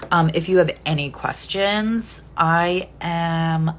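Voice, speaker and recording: A woman's voice: on the low side, slow, slight background noise. A woman in her forties chatting casually on a live stream, rambling and answering viewer comments as they come in. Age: 40s.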